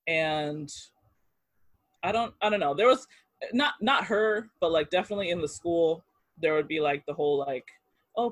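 A man in his thirties telling personal stories in an interview, halting with fillers and restarts and laughing easily. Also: high voice, a bit bright, no echo. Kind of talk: casual storytelling interview.

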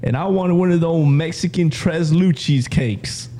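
A man's voice: fairly high pitch, a little slow, dark tone, a little hiss or hum. A young man in casual podcast chat with friends, laid-back and laughing easily. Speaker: podcast co-host.

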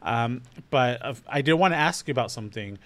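A man's voice: mid-range voice, animated, a little fast, noisy background. A man with a Southern Californian accent, co-hosting a casual local politics chat, easygoing and full of "uh" and "you know". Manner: easygoing, fills with "uh" and "you know", asks questions. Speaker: co-host.

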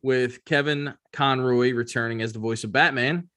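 A man's voice: medium pitch, steady tone, moderate pace, a bit bright. Casual man chatting pop culture news. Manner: informal, conversational fan talk. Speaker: podcast host.

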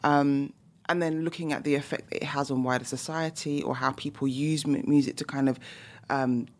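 Low-pitched London woman, chatty and animated interview guest. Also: fairly quick. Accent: London English. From London, England. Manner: animated, laughing, many fillers. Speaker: guest musicologist. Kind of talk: casual interview chat.

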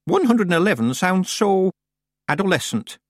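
Ancient village witch, acted by a male narrator: high, shrill, imperious and mischievous, wildly swooping storybook delivery. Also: sing-song, moderate pace, no echo, studio quality. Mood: haughty. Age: over 100. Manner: imperious, theatrical scolding. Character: proud, bossy, mischievous very old witch woman.

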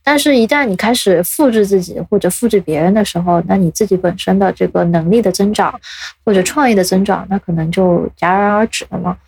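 A woman's voice: medium pitch, brisk, vocal fry, a little mellow, husky, no room sound, poor audio. A woman in casual conversation as a podcast co-host. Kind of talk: casual chat.

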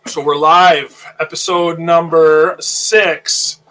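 A man's voice: on the high side, flat delivery, slow, fairly bright tone, low-quality recording. A man hosting a casual live show, sounding nasal while getting over an illness, easygoing and repeating words as he thinks. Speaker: host of a live reptile-hobby show. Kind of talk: casual live-stream chat.

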